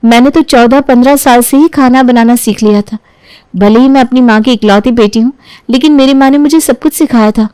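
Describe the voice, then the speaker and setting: A woman's voice: a little high, fairly quick, mellow, clean tone, no echo, voice pushed up, lightly acted, rough recording. A woman voicing a dutiful young daughter-in-law in a family story, polite and deferential. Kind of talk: acted dialogue in a Hindi family storybook tale.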